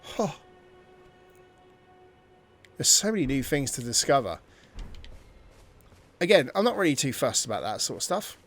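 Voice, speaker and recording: A man's voice: mid-range voice, big pitch swings, measured pace, long pauses, a bit bright, soft background music. A man hosting a gameplay video, thinking aloud in informal, casual commentary.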